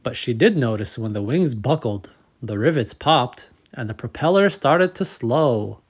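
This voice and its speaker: A man narrating a children's storybook as host, in a measured, expressive read-aloud manner. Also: medium pitch, breathy edge. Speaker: storybook reader and channel host.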